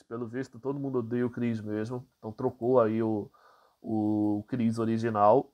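A man with a Brazilian Portuguese accent hosting his channel, reading entertainment news aloud and commenting casually with frequent fillers. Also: medium pitch. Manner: casual, many fillers, reads articles aloud then comments. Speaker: channel host.

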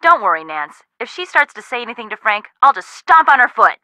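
A woman's voice: fairly high pitch, sing-song, brisk, pushed and bright, dry recording. A woman voicing a wry, blunt, no-nonsense young skeptic in a video game. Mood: wry, teasing.

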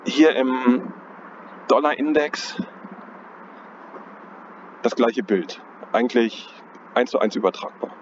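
A man at an ordinary middle pitch walks through market charts in an unhurried, thinking-aloud way, stopping to correct his own wording. The audio is dull and lo-fi. Clear outdoor noise and passing traffic sit behind him. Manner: thinking aloud, hesitant, self-correcting, chuckles at himself. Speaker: trading analyst. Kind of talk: casual chart analysis commentary. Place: outdoors on a cold day.

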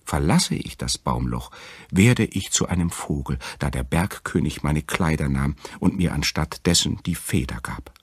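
A man voicing an enchanted young tsar's daughter, earnest and pleading, with a husky voice in a fairy-tale audiobook. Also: rumbling low, expressive, measured pace, no echo, exaggerated voice.

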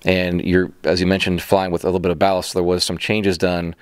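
A man's voice: sits low, level tone, fairly quick, clean tone, no echo, clean studio sound. A middle-aged man hosting an interview in an open hangar, asking long, rambling questions with restarts into a handheld mic. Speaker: channel host interviewer.

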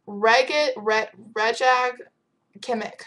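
A woman's voice: fairly high pitch, extremely slow, a bit bright. A teenage girl chatting casually on her webcam at home, reading out viewer questions with a giggly, teasing manner. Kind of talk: casual chat. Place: home room with bright window. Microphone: built-in webcam mic.

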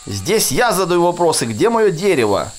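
A man acts out a bossy, short-tempered toy lumberjack from a kids' game. He barks insults and demands, his pitch pushed up and swinging, with music behind. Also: sing-song, normal speed. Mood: irritated. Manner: barking, insulting.

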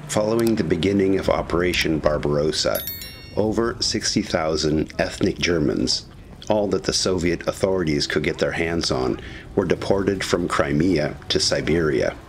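A man narrating a scripted historical documentary in a measured, serious manner. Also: deep voice, a little hiss or hum.

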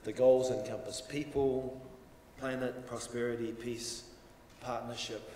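A man's voice: mid-range voice, little pitch movement, mellow. Formal man speaking in a reverberant hall. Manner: measured, formal, trailing off at phrase ends. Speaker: university welcome speaker. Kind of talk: formal welcome speech. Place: conference room, at a lectern. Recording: roomy hall reverb, distant mic, faint hiss.

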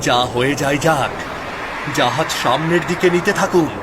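A man's voice: a little high, normal speed, heavy background noise, close to an everyday voice. A man voicing a formal, dramatic young prince in a fairy-tale cartoon dub. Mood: commanding. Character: young prince leading a sea voyage, commanding. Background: music behind the voice.

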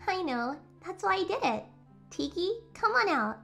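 A woman voicing a feisty, affectionate, excitable teenage superheroine in a fan comic dub, with expressive delivery. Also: extremely high pitch, normal speed, vocal fry, faint music behind, exaggerated voice. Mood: caring. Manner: expressive, exclamatory.

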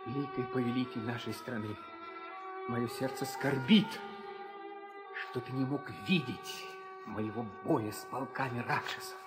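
An actor playing a noble, devoted young warrior prince, declaiming fervently in an old theatrical audio drama. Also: male voice, mid-range voice, steady tone, unhurried, close to an everyday voice. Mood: proud, fervent. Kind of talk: 1960s Soviet theatrical audio drama acting. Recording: old reverberant studio recording with music.

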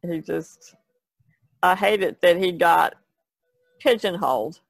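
A woman's voice: lowish voice, lively intonation, slow, creaky, no echo. A woman podcast panelist talking in a casual, conversational way. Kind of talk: casual chat.

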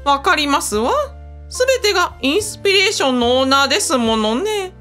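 A woman's voice: sits high, sing-song, a little fast, fairly bright tone, faint music behind. An actress in a radio drama playing a composed female aide with polite, refined phrasing. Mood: knowing, teasing.

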